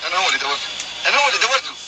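Male Tunisian pilot, Arabic native, extremely stressed, rapid-fire delivery, distant cockpit recording. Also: sits high, sing-song. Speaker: airline pilot on a distress call. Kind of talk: cockpit and radio talk in an emergency. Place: aircraft cockpit. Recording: noisy distant cockpit recorder, aircraft engine roar. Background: loud aircraft noise.

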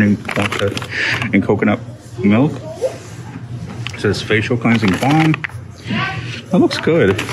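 A man with a slightly low voice talks casually through a shopping walkthrough, upbeat and full of little fillers as he reads labels aloud. He records on a handheld phone inside a big store, with a clear hum of shop noise around him. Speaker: shopping vlog host. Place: inside a large discount store. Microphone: handheld phone camera. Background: store ambience.

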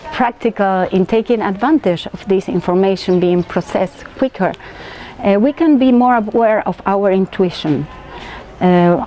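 Halting, mellow Spanish-accented woman. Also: mid-range voice. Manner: halting, searching for words in non-native English. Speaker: vlogger presenting a theory. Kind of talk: unscripted explanatory talk to camera. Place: outdoors at open stone temple ruins.

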